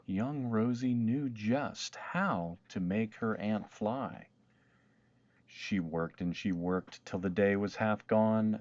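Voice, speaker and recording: A man reads a rhyming storybook in a low voice. He takes his time with a slow, measured delivery. The sound is dry and a little muffled. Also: dry recording. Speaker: storybook narrator. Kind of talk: rhyming read-aloud narration.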